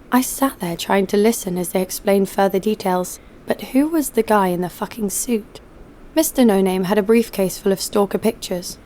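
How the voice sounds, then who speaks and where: A woman's voice: mid-range voice, dark tone, slight background noise, natural voice. An actress playing a cynical, hard-nosed female bounty hunter in an audio drama, with a blunt, sardonic delivery. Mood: wry, incredulous. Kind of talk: first-person audio drama narration and dialogue.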